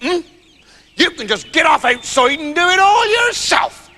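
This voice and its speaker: A man voicing a bossy old farmer's wife from a storybook, nagging in a sharp, no-nonsense way. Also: extremely high pitch, sing-song, fairly quick, big-room sound, slight background noise, exaggerated voice. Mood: scolding.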